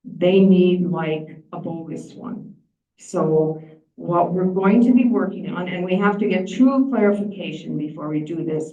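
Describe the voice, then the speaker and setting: A woman's voice: on the low side, mellow. A woman giving a plain-spoken, explanatory presentation at a meeting. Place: large meeting room. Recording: echoey room, distant mic, dull sound.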